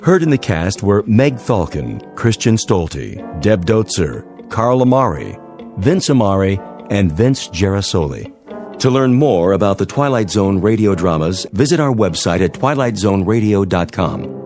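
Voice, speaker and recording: Low-voiced male radio announcer. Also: very animated, measured pace, a little mellow, faint music behind. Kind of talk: scripted radio credits announcement.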